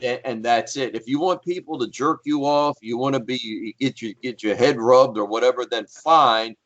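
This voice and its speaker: A man's voice: medium pitch, normal speed, fairly bright tone, dull audio. A man, a guest on a podcast panel, talks bluntly and emphatically and swears freely. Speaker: guest, former wrestler and actor. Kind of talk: casual podcast panel chat.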